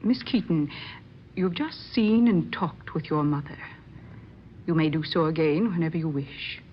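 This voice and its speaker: An actress playing a stern, imperious medium in an old-time radio drama, speaking in a formal, commanding manner. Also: female voice, low voice, unhurried. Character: stern, imperious married woman who works as secretive medium. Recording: muffled, noisy old radio recording.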